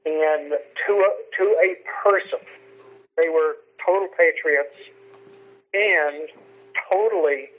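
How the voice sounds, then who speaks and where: A man's voice: on the high side, slow, pauses often. A man giving emphatic political commentary over the telephone on a radio show, repeating phrases for stress. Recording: tinny, narrow telephone line.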